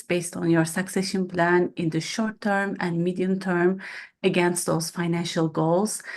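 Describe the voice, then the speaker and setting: A woman's voice: lowish voice, darkish tone. A woman co-hosting a webinar, presenting with many fillers and restarts. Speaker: program lead, co-host.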